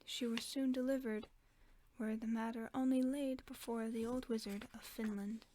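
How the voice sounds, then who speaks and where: Female narrator, gentle whispered storytelling for sleep, slightly high and very even. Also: a little hiss or hum. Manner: slow, hushed, even whisper. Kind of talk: soft whispered bedtime story reading.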